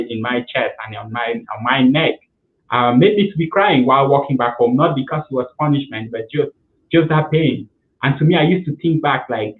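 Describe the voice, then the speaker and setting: A young man from Cameroon, telling his story as a guest over a video call, earnest, with many fillers and restarts. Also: mid-range voice. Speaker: guest, nonprofit founder. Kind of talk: storytelling interview answer. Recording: echoey room over a tinny line.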